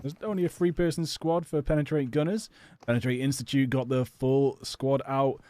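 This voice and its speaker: A man's voice: mid-range voice, fairly even intonation, moderate pace, dark tone, dry recording. A British man giving casual, rambling live game commentary as an esports caster, chatty and a little hesitant. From England. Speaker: esports caster and stream host. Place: home or personal studio.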